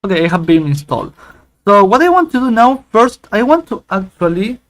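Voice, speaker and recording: A man giving a casual, rambling software tutorial, joking and humming tunes as he goes. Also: high voice, sing-song, brisk. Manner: playful, rambling, hums and sings tunes while waiting. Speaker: tech YouTuber. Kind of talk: casual screen-recorded tutorial.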